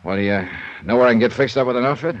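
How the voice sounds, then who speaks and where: An actor playing a shrewd, easygoing American insurance investigator in a 1950s radio detective drama, wry and probing. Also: male voice, medium pitch, very fast, close to an everyday voice. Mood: casual, shrewd. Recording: dull vintage radio recording.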